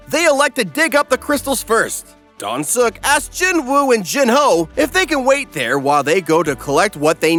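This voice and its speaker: A man's voice: extremely high pitch, sharp tone. A man giving a comedic scripted recap as narrator, snarky, irreverent and exuberant.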